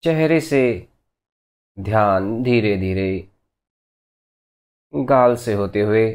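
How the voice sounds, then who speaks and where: A man guides a meditation in a lowish voice. His delivery is very slow, with short instructional phrases set apart by long pauses. He instructs gently and without any hurry. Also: lively intonation, smooth. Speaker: meditation teacher.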